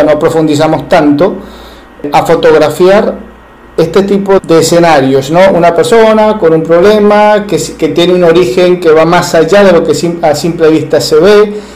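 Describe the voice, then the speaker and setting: A man's voice: on the high side, darkish tone, poor audio. A male interviewer asking long, rambling questions with frequent self-corrections.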